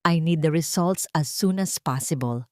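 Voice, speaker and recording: A woman's voice: low-pitched, a little slow, dry recording. Woman narrator as tired rich man, conflicted, terse, insistent, drama story, dry recording. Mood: determined. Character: weary, conflicted wealthy man.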